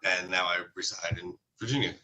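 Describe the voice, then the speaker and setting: Man, 30s, from Hawaii, low forceful voice, forthcoming storyteller, casual stream chat. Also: fairly quick, low-quality recording. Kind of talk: casual chat about car audio.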